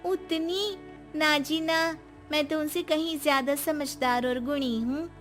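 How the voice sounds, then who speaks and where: Woman doing young daughter-in-law, cartoon, shrill, sly, whining, high, slow. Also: steady tone, faint music behind. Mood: scheming. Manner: shrill, whining, fake sobbing.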